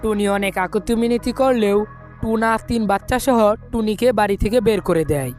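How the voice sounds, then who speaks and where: Lady narrator reading a cartoon bird tale, medium pitch, faint music. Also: moderate pace, a little mellow. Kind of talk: scripted cartoon story narration.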